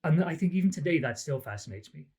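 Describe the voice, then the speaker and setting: A middle-aged man with a Welsh accent, chatting as an interview guest with relaxed, self-deprecating answers full of ums. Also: on the high side, quick pace, slightly rough. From Wales. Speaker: academic guest, lie detection researcher.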